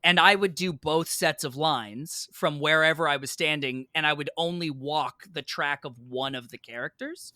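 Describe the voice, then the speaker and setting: A man's voice: fairly high pitch, moderate pace, hardly any pauses, no room sound, clean studio sound. A man telling a personal anecdote casually as a podcast guest, acting out both sides of remembered conversations. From United States, New York. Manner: animated, acts out both sides of remembered exchanges. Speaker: podcast guest, stage actor.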